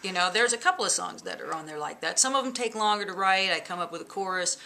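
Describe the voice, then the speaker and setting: Chatty woman bassist with a gravelly edge. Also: fast, slight background noise. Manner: lively, chatty, laughs often, many fillers. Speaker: bass player and songwriter. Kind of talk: casual interview chat. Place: living room at home. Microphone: camera in front, close framing.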